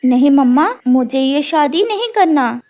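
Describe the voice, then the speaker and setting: Woman as a high-voiced headstrong young bird. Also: animated, a little slow, a little mellow. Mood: defiant. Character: young headstrong daughter bird refusing marriage. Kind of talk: Hindi cartoon character dialogue.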